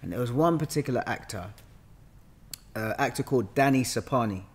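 A man in his thirties speaks with a London accent at a middling pitch. He tells stories as an interview guest, loosely and colloquially, often repeating his words as he goes. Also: expressive, a little fast, husky. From London, England. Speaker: guest actor. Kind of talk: casual interview storytelling. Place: bright indoor room with sofa. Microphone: podcast microphone on boom arm.

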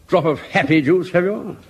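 An actor playing a brash, boastful captain in a radio sitcom. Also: male voice, on the high side, measured pace, heavy background noise. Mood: brusque. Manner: brusque, boastful. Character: brash, boastful male captain, a veteran of an earlier war. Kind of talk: 1970s radio sitcom acting.

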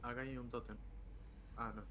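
A man's voice: mid-range voice, flat delivery, moderate pace. Argentine man in noisy game chat. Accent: Argentine Spanish. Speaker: fellow player in voice chat. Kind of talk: casual chat while gaming.

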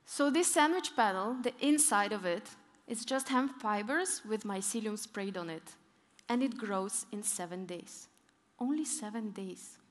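A woman's voice: medium pitch, measured pace. Young woman giving a keynote in a reverberant hall. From northern Europe, Arctic region. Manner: storytelling, lively emphasis on key points. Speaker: keynote speaker. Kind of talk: conference keynote talk. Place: large conference hall on stage.

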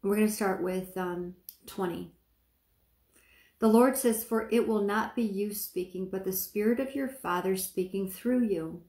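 Soft-voiced woman preaching in an echoey room. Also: mid-range voice, unhurried. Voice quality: soft speaking voice. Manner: chatty, asks the viewer questions, stretches words for emphasis. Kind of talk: informal sermon to camera. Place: small room indoors, seated.

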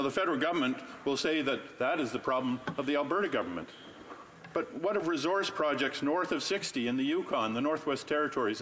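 A man's voice: a little high, a bit bright, a little hiss or hum. A man, a member of parliament, giving a prepared floor speech and pressing pointed rhetorical questions. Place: legislative chamber.

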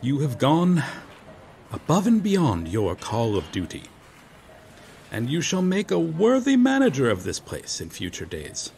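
A man's voice: medium pitch, huge pitch swings, a little slow, vocal fry, a little mellow, slight background noise, exaggerated voice. A man playing a proud, courteous medieval knight out of his time in an audio roleplay, with formal, archaic, theatrical phrasing. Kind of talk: ASMR roleplay acting.

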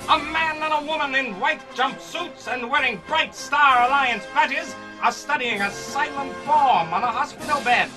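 A man plays a melodramatic old-time radio announcer narrating a space serial. His voice is very high in pitch and grand in delivery. Music plays clearly behind him. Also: normal speed, few pauses, poor audio. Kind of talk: parody 1940s radio announcing.

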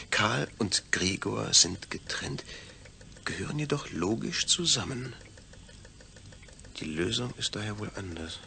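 An actor playing a pensive astronaut in a deliberate, theatrical audio drama soliloquy. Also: male voice, lowish voice, creaky, pushed and bright, heavily acted. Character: pensive astronaut man puzzling over his own detached brain. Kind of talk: German audio drama monologue.

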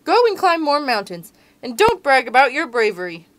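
Woman doing a proud male lion king, gruff, lordly, loud, storybook reading. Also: high-pitched, sing-song, moderate pace, a little hiss or hum, voice pushed up. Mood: amused.

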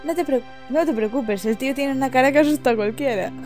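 A woman's voice: high-pitched, fast talker, darkish tone, faint music behind, natural voice. A woman from voicing a cocky, gallant young male thief from a video game in a breezy, reassuring manner. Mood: assured.

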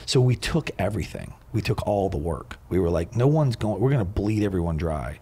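A middle-aged man hosting a podcast in a studio, talking into a boom mic as a blunt, animated storyteller in casual chat. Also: fairly quick, slightly rough. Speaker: podcast host. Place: podcast studio with wooden bar shelves. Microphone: boom mic and headphones.

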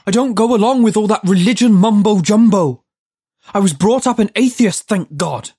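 A storyteller voicing a foul-mouthed, angry man who keeps shouting in exasperation. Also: medium pitch, moderate pace, no room sound, clean studio sound, natural voice.

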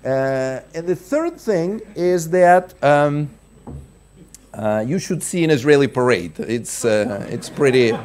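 A man's voice: medium pitch, expressive, takes it slowly, a little mellow. A middle-aged man from Russia giving a conference keynote at a lectern in a large hall, explaining step by step and often restarting and repeating words. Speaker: keynote speaker. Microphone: lectern microphone.

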